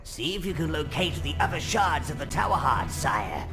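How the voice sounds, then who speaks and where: A man's voice: a little high, big pitch swings, moderate pace. An actor voicing a fawning old minion advisor who gives sardonic advice to his master. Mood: advising. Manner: sardonic, obsequious asides. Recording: music behind.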